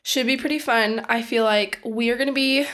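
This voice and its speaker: A woman's voice: on the high side, moderate pace. A young woman co-hosting a podcast in casual chat, just getting over a cold and laughing often. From Oregon. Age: young adult. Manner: laughs often, relaxed and chatty. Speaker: podcast co-host.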